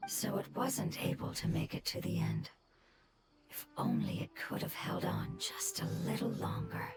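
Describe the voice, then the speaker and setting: A woman voicing a gentle, sorrowful ancient dragon in a soft, breathy whisper, over game music. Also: lowish voice, a little slow, background noise. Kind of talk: game voice acting. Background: loud game music.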